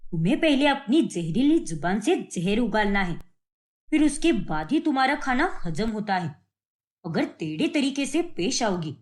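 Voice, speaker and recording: A woman voicing a middle-aged father in a cartoon story, sarcastic and firm, with a theatrical, taunting manner. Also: on the high side.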